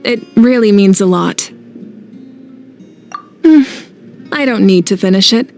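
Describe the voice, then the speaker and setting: A woman's voice: medium pitch, animated, a little mellow. Composed, humble female leader, mid-range. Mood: warm. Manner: composed, measured, modest. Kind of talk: anime fantasy game acting. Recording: faint background game music.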